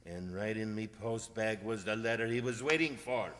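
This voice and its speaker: A man's voice: on the low side, normal speed, fairly bright tone, natural voice. A man playing a plain-spoken, solemn village postman in a radio drama, folksy and emphatic. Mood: grim. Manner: folksy, emphatic, repeats himself. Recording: reverberant hall sound.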